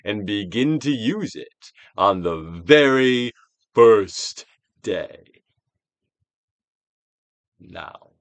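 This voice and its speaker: A man gives a dramatic, stage-trained reading of a self-help book, emphatic, with drawn-out phrases that swell and drop to a hush. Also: medium pitch, no echo. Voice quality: trained stage-actor delivery. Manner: emphatic, drawn-out phrases with big dynamic swells and hushed drops. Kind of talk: theatrical dramatic audiobook reading.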